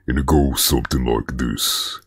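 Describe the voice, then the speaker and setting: Brief: male commentary host, extremely low and husky, unhurried, dry close sound. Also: fairly even intonation, no room sound. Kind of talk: commentary vlog.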